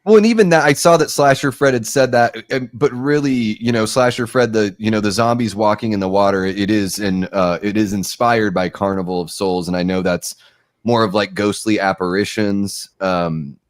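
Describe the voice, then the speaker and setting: A man in his forties with a fairly low voice, rambling happily through a live movie watch-along as the host. The sound is dry and close, with no room around it. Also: normal speed, no echo. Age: 40s. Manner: talkative, rambling, laughs often.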